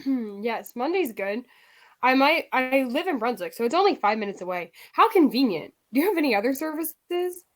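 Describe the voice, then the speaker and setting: A woman's voice: sits high, sing-song, moderate pace. A woman playing an eager, easily pleased dental patient in a scripted radio commercial.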